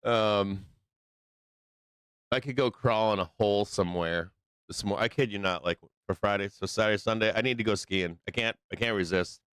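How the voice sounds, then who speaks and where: A hoarse middle-aged man with a Midwestern American accent hosting a live trading stream, rambling casually, swearing constantly and filling pauses with ums. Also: on the low side, fairly bright tone, dry recording. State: hoarse, losing his voice.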